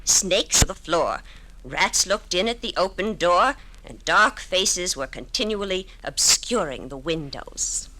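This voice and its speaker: A woman's voice: on the low side, expressive, a little slow, sharp tone, slight background noise, rough recording. An actress in a 1950s radio drama playing a good-humored pioneer schoolteacher, telling her story in a literary, wryly humorous way. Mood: wry, fond recollection.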